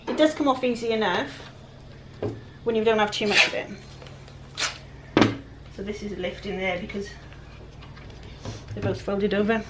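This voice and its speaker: A woman with a croaky, raspy voice, chatting casually while she works through a furniture project. She sounds a little breathless and often lets her sentences trail off quietly. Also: mid-range voice, fairly even intonation, normal speed, pauses often, very noisy. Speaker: furniture flipper. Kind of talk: casual how-to chat while working.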